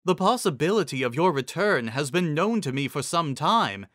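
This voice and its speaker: A man's voice: fairly high pitch, sing-song, normal speed, a bit bright, no room sound, clean studio sound, pitched above the performer's own voice. A man voicing a humble female zebra seer in a fantasy story, speaking deferentially in formal rhyme. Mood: cautious. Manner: deferential, formal rhyming speech.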